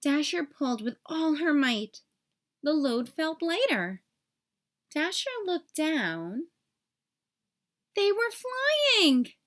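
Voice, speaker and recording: A woman with a fairly high voice narrates a storybook for young children. She reads expressively, in the manner of a read-aloud storyteller. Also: very animated, slow, pauses often, fairly bright tone.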